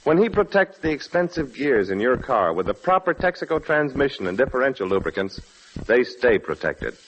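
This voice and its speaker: A man's voice: medium pitch, very animated, fairly quick, lo-fi sound. A man reading a scripted advertisement as a 1940s radio announcer. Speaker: commercial announcer. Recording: slightly noisy 1940s radio recording.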